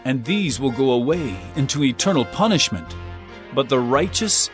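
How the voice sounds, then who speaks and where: Expressive man reading scripture over music. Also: mid-range voice, moderate pace. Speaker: reader of an audio Bible. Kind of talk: dramatized scripture reading with acted dialogue.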